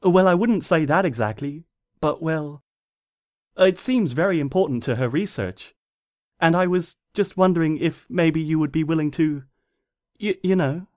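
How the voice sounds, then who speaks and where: A man voicing a timid, gentle young female pony who speaks softly and hesitantly, stammering. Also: on the high side, moderate pace, no echo, clean studio sound, natural voice. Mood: pleading. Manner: hesitant, soft-spoken, stammering.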